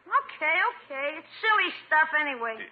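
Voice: high voice, sing-song, normal speed. An actor playing a cheeky, wisecracking schoolboy nephew in a 1950s radio sitcom. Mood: cheeky teasing. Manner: cheeky, teasing, casual. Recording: noisy narrowband 1950s radio recording.

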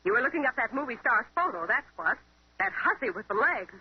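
An actress playing a jealous, sharp-tongued American wife, indignant and scornful, in 1930s radio acting style. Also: female voice, on the high side, big pitch swings, fairly quick, slightly rough, dry recording, heavily acted. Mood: suspicious, scornful. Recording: muffled 1930s radio recording.

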